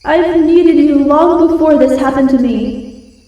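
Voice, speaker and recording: A woman voicing a shy giantess wolf girl in a slow, hesitant ASMR roleplay. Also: sits high, muffled recording. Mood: nervous, earnest. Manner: slow, hesitant, stammering when flustered. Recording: heavily echoing, distant-sounding voice.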